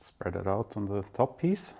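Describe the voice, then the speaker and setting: A man with a fairly low voice hosts a home cooking show from his kitchen. He explains each step calmly and plainly, as if talking a friend through the recipe. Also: normal speed, vocal fry, a little hiss or hum. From Belgium. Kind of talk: casual cooking demonstration.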